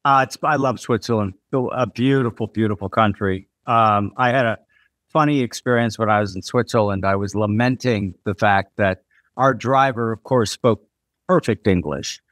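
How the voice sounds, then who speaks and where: Relaxed podcast host, man. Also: on the low side, moderate pace, no room sound, clean studio sound. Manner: relaxed storytelling with short agreements. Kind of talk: casual conversation.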